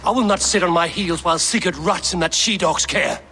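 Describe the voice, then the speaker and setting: An actor playing a bold, determined young Viking leader in a video game, resolute and formal in speech. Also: male voice, sits high, fairly quick, pushed and bright. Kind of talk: dramatic video game acting.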